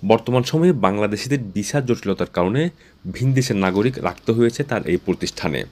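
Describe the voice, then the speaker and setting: A man's voice: fairly low pitch, animated, brisk, darkish tone. A man working as a news reporter, reading scripted narration for a feature story.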